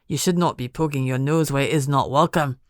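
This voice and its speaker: A man's voice: medium pitch, brisk, no room sound, clean studio sound, natural voice. A woman voicing an indignant, threatening male servant who barks his words. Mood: barking. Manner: barking, brusque.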